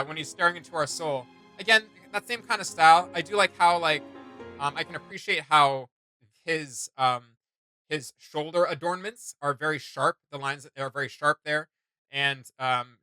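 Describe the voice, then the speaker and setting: Male reaction host, slightly high and forceful, casual slangy commentary that gropes for the right word, close dry sound. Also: no echo, low-quality recording. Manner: hesitant, searching for words, informal slang.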